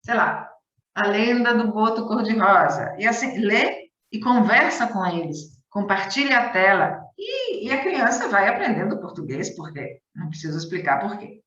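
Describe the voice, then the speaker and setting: A woman's voice: medium pitch, very animated, moderate pace, a bit bright, echoey room. Woman, conference talk on a video call, chatty with many restarts, distant from the mic, dull muffled audio. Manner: chatty, repeats words for emphasis, frequent restarts. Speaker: conference speaker, volunteer teaching coordinator.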